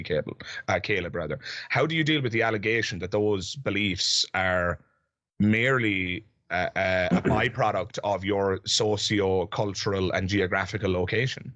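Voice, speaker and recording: A man's voice: fairly low pitch, fairly bright tone, dry recording. A man, hosting a casual debate and phrasing his questions in careful, restated steps. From Ireland. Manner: measured, restates questions with fillers and asides. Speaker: podcast host and debater.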